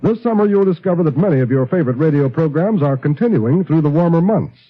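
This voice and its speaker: A man's voice: on the high side, expressive, quick pace. An American man making polished, formal scripted announcements in 1950s network radio style, on a vintage broadcast recording. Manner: polished, formal promotional delivery. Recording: muffled vintage radio broadcast, faint music.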